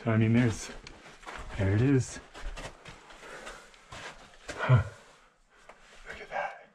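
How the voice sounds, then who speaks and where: A man exploring an abandoned mine tunnel, thinking aloud in casual commentary and often dropping to a hushed, near-whispered voice. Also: lowish voice, fairly even intonation, drawn-out words, noisy background. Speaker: mine explorer and channel host.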